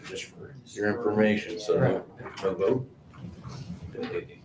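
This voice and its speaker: A man's voice: fairly low pitch, steady tone, measured pace. A man speaking formally as the president chairing a town council meeting. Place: indoor council meeting room. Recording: echoey meeting room, clear background noise.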